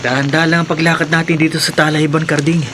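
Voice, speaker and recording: A man's voice: a little high, flat delivery, fast, heavy background noise, natural voice. A man playing a confident, protective and resolute friend in a Filipino radio drama. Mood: cautious. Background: faint music.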